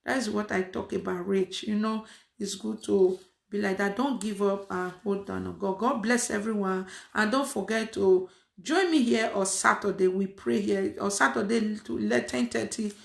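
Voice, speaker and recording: A woman's voice: medium pitch, steady tone, moderate pace, gravelly. A woman preaching casually to an online audience, emphatic and repeating her phrases, with frequent fillers. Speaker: online prayer host. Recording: echoey room.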